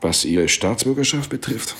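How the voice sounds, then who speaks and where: An actor playing a courteous, cautious British consul in a radio play, polite, measured and probing. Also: male voice, medium pitch, lively intonation, fairly bright tone. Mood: formal, cautious. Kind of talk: German radio play acting.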